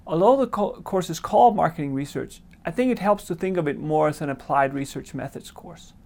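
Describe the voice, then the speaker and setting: A male professor with a Danish accent delivers a prepared lecture introduction. His voice sits a little high for a man and has a noticeable creak. He explains things in a measured, articulate way. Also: animated, darkish tone. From Denmark. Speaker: marketing professor.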